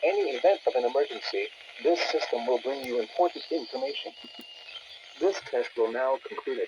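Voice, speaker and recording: A man's voice: medium pitch, fairly quick. A synthetic male text-to-speech voice reading scripted weather and alert bulletins as an automated announcer over a radio. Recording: muffled, noisy weather radio playback.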